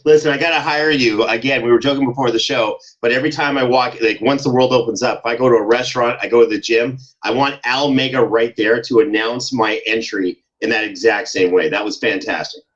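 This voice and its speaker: A man's voice: mid-range voice, steady tone, fairly quick, hardly any pauses, a bit bright. A man speaking casually as a podcast guest and producer in an interview. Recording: dull, lo-fi sound.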